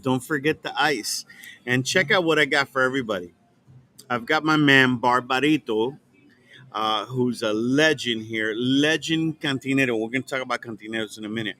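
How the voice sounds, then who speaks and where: An easygoing man hosting a podcast in an outdoor alley at night, his voice sitting at an ordinary middle pitch. Faint background hum carries under his relaxed, filler-heavy talk. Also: moderate pace. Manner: easygoing, enthusiastic, many fillers. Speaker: podcast host. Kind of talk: casual chat. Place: outdoor back alley behind a restaurant bar at night.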